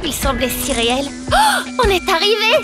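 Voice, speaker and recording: Lady, a high bubbly cartoon girl. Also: moderate pace, music in the background, exaggerated voice. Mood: fretful. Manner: bright, fretful, expressive. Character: young woman Lady, cheerful and anxious about friends.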